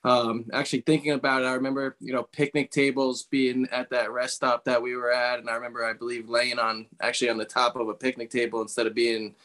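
Even-toned man casually recollecting. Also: mid-range voice, fast, hardly any pauses. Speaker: podcast guest, former baseball player.

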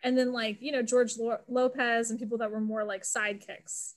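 A woman co-hosting a podcast, talking fast and emphatically in a casual movie chat. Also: fairly high pitch, flat delivery. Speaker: podcast co-host.

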